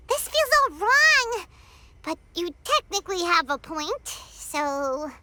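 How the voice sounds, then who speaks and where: A woman voicing a small, chirpy, earnest fairy companion in an English video game dub, with a squeaky childlike voice and cutesy, exclamatory delivery. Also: very animated, slow, heavy background noise, full character voice. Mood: reluctant. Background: music.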